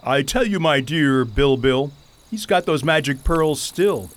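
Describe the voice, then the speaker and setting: A man's voice: a little high, animated, measured pace. A man playing a pompous, foppish goat king in a radio play, with florid, theatrical, wordy speech. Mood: defensive, rhyming.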